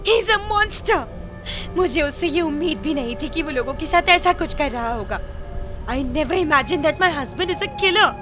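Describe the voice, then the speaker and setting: A woman's voice: high voice, expressive, unhurried, over music. A woman playing an anxious, distressed young wife in a horror audio drama.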